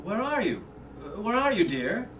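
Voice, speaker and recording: A woman's voice: mid-range voice, very animated, normal speed, close to an everyday voice. A woman playing a devoted husband home from war in staged reader's theater, earnest and romantic. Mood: tender, joyful. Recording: roomy, distant mic, faint noise.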